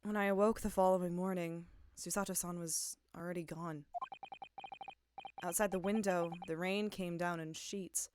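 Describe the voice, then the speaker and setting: A woman's voice: steady tone, normal speed, smooth. A young woman streaming a game with friends, chatting, laughing and reading out dialogue, with game music behind. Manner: giggly, swearing, reads dialogue in a lower, quieter voice. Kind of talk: casual let's-play chat and text reading.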